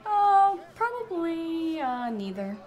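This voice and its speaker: A woman's voice: very high voice, very animated, slow pace, darkish tone, some chatter behind. A woman in her twenties improvising casually in character as a player in a tabletop roleplaying game. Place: indoor studio set, seated at a table.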